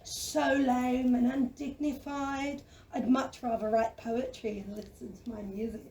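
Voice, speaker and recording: A woman acting a gentle, cowardly dragon in a children's audio play, theatrical, fussy and exclamatory. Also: fairly high pitch, little pitch movement, a little slow, slight background noise. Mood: indignant, pleading. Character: flamboyant, cowardly, gentle dragon who shuns fighting. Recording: distant microphone.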